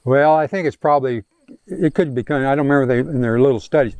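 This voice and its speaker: A man's voice: mid-range voice, fast, darkish tone, clean tone, dry recording. A man answering a crowd's questions at an outdoor field day, talking with many restarts and fillers into a handheld mic on open prairie. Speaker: range scientist answering questions. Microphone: handheld microphone.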